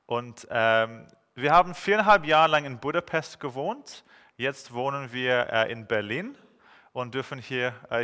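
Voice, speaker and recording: A man in his thirties with an English accent delivers a sermon in a friendly, joking way, pausing often to hesitate. His voice is clear and forceful. It rings out with a long reverb, as in a large hall. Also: mid-range voice. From England. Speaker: guest preacher.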